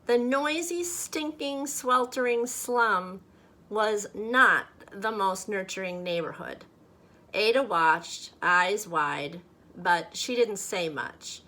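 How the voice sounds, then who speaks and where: A woman from a church talking to children and reading a storybook aloud in a deliberate, expressive way. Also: mid-range voice. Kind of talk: children's read-aloud and friendly talk to kids.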